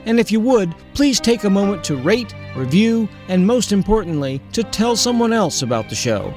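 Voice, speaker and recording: A man announces scripted credits for a podcast. His voice is a little high and very lively in pitch. Faint music runs behind him. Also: normal speed.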